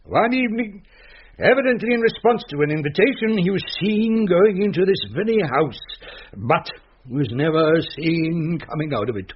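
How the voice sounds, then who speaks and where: An actor playing a pompous, verbose, easily irritated gentleman host who tells a ghost tale in period radio comedy style. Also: sits high, lively intonation, normal speed, breathy edge, muffled recording. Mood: mock-solemn, testy.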